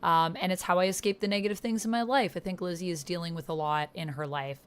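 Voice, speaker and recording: A woman with a slightly low, smooth and very clear voice talks casually through an online roleplaying game. She explains and reads aloud in an informal way, as if thinking out loud while she plays. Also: steady tone, quick pace. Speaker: host and player of an online text roleplaying game. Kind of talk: casual explanatory chat while playing, with some reading aloud.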